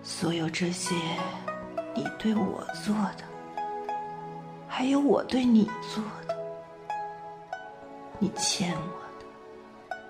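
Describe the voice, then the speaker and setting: A woman acting a tender, weary woman making peace with an old love in a radio play, hushed and deliberate. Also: medium pitch, expressive. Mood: tender, sorrowful. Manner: slow, hushed, deliberate, pausing between short phrases. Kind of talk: amateur radio drama reading of a stage play. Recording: zither music behind. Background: zither music.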